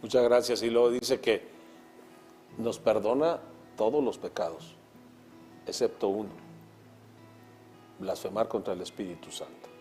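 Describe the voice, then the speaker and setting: A man hosting a religious talk program, speaking in a calm, reflective way. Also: fairly low pitch, a little slow, pauses often, faint music behind. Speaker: TV program host.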